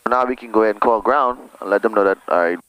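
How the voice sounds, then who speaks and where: Male pilot-instructor, slightly low pitch, casual and reassuring, heard through a cockpit headset with aircraft noise. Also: quick pace, a bit bright, clear, resonant tone, dry recording. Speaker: pilot giving flight instruction. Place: small plane cockpit in flight operations. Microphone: aviation headset. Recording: noisy aircraft cockpit intercom.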